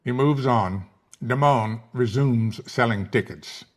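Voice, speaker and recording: A man's voice: medium pitch, takes it slowly. A man reading scene headings and stage directions as narrator in a scripted table read.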